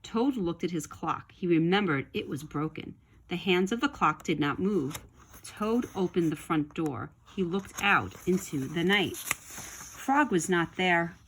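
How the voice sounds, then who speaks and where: Slow, expressive woman reading a children's story. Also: fairly low pitch, slight background noise, rough recording. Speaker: storybook reader. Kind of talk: children's story read-aloud, expressive narration.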